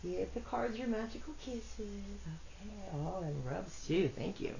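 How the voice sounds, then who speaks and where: A woman with a congested, rough voice gives a chatty tarot reading, talking to her cards and her viewers. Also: mid-range voice, expressive, a little slow, warm tone. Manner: chatty, self-deprecating, talks to cards and viewers. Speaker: tarot reader. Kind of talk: casual solo tarot reading. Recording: dull lo-fi audio with faint noise.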